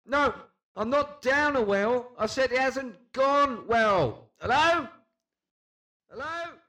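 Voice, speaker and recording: A man's voice: very high-pitched, lively intonation, slow pace, pushed and bright, pitched above the performer's own voice, full character voice. A man voicing an angry, spluttering smartly dressed man exasperated on a phone call in a narrated story. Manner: exasperated, spluttering, repeating himself.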